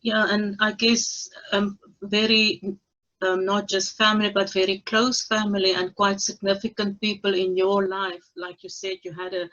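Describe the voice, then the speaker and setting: A woman hosts an interview over a video call and speaks in a calm, measured, encouraging way. Her voice sits at a middle pitch. The audio is slightly dull and lo-fi. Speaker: cancer recovery coach and interviewer.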